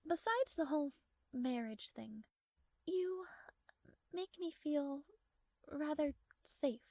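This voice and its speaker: A high, girlish voice for a tsundere princess played by a woman, recorded dry and close to the ear. She is haughty and formal, guarded but fond, and easily flustered as she addresses the listener in a romantic audio roleplay. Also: expressive, slow, darkish tone, dry recording, exaggerated voice. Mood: softly vulnerable.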